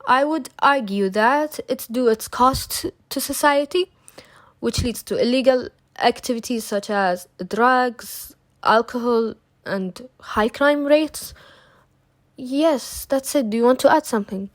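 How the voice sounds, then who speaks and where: A woman's voice: fairly high pitch, slow pace, dry recording. A young woman from Kuwait, a student presenting at a seminar, reading prepared remarks with small restarts.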